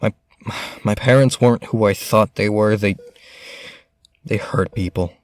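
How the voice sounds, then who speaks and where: A man's voice: lowish voice, steady tone, measured pace, natural voice. A man playing a guarded, stubborn rich teenage boy in an audio drama, speaking tersely and hesitantly. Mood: guarded, troubled. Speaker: voice actor.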